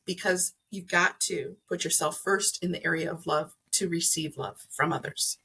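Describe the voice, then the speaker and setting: Middle-aged woman, podcast guest, slightly low pitch, gravelly edge, earnest, emphatic, conversational explaining. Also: steady tone, moderate pace, bright, forceful tone, slight background noise. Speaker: podcast guest, energy healer.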